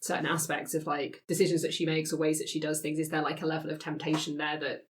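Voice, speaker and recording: A woman's voice: sits low, flat delivery, quick pace. A woman talking casually and enthusiastically in a group discussion as a member of a roleplay podcast.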